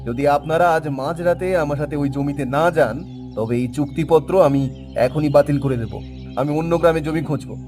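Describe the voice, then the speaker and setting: A bossy city buyer in a cartoon, acted by a man. His voice sits a little high, and he gives orders sharply with English words mixed in. Music plays faintly behind him. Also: fairly quick, lightly acted. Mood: stern. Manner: commanding, mixes English words. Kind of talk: Bengali cartoon dubbing.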